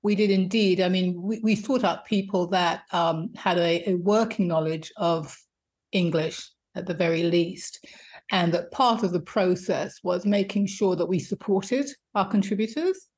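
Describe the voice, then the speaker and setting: A woman with a fairly low voice answers as an interview guest. She speaks thoughtfully, pausing on fillers and repeating words now and then. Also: darkish tone. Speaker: guest, co-editor. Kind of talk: conversational interview.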